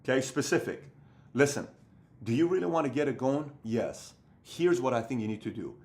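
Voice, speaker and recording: A man's voice: mid-range voice, lively intonation, moderate pace, a little mellow. A man in his thirties giving an emphatic, repetitive motivational business talk to camera, seated in a room with bookshelves. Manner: emphatic, repetitive, acts out other people's voices. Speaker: business coach.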